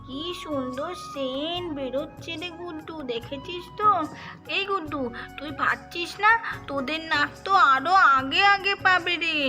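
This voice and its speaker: A woman's voice: sits high, moderate pace, sharp tone, soft background music. A woman voicing an eager, food-loving little child in a cartoon, chattering and wheedling with repeated calls. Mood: excited, eager. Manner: wheedling, repetitive calling.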